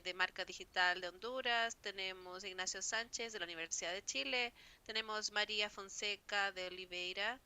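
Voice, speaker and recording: Woman running a panel, crisp bright voice, reads her notes kinda formally, slips a word now and then. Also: medium pitch. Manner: formal, reads prepared remarks with occasional slips. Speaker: panel moderator. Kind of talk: conference panel introduction.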